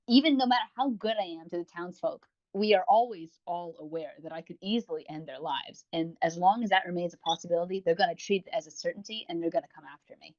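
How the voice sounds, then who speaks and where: A man's voice: sits high, fast talker, few pauses, lo-fi sound. A man co-hosting a podcast in casual chat, rambling and gushing about what he reviews, with self-mockery and casual swearing. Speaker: podcast co-host. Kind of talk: casual chat, reviewing audio roleplay series.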